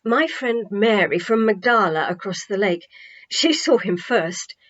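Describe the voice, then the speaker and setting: A woman's voice: mid-range voice, lively intonation, a bit bright. Storybook role: overjoyed devout woman witness, played by a female narrator, rambling and breathless; slightly dull recording. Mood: earnest, excited. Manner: breathless, gushing, halting delivery.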